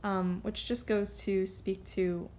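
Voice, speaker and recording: A woman giving a casual, unscripted card reading, rambling and halting as she drifts off on tangents. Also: mid-range voice, flat delivery, darkish tone, a little hiss or hum. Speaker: tarot card reader.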